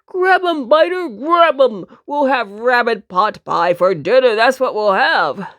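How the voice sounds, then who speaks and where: Storybook huntsman voiced by a woman, rough and bloodthirsty, calling out commands, raised animated pitch. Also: unhurried, no echo, clean studio sound. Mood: excited, bloodthirsty. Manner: shouting commands. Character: bloodthirsty rough man hunting with dogs. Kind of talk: children's storybook character voice.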